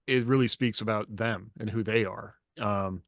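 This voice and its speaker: A man's voice: lowish voice, fairly even intonation, normal speed, no room sound, studio quality. A man co-hosting a podcast, talking casually with opinions in a rambling, emphatic way. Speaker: podcast co-host.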